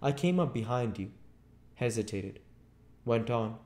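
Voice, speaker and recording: A man's voice: mid-range voice, animated, unhurried, darkish tone, voice pushed up, lightly acted. A man voicing a desperate, domineering invisible man in a storybook, commanding, insistent and well-spoken.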